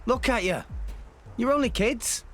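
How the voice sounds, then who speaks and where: A man's voice: extremely high pitch, a little slow, sharp tone, soft background music, exaggerated voice. A man voicing an earnest young male hero who speaks in a coaxing way. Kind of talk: video game voice acting.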